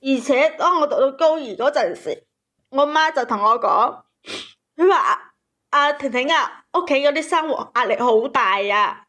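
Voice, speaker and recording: High-voiced, plaintive woman caller. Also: animated, normal speed, fairly bright tone. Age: 30s. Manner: plaintive, aggrieved. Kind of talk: personal storytelling on a call-in.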